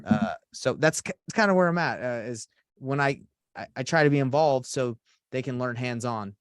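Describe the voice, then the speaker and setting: A man's voice: medium pitch, lively intonation, normal speed, clean tone, no echo. A young man in his twenties, a real estate coach being interviewed, talking earnestly with many restarts. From Atlanta, Georgia. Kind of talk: casual podcast interview.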